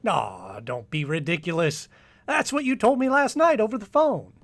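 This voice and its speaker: Radio drama confidant male actor: dismissive, soothing, patronising man with highly varied intonation. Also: expressive, moderate pace, fairly bright tone, exaggerated voice. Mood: scoffing. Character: dismissive, amused male friend and former rival in love. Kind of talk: old-time radio drama acting.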